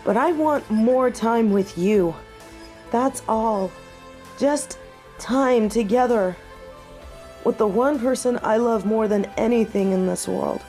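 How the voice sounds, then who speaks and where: A young woman playing a devoted half-wolf heroine in a romantic audio drama, speaking earnestly and tenderly with halting pauses, as if to one loved person. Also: on the high side, dark tone, soft background music. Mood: earnest, pleading. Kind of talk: romantic audio roleplay acting, intimate one-sided dialogue.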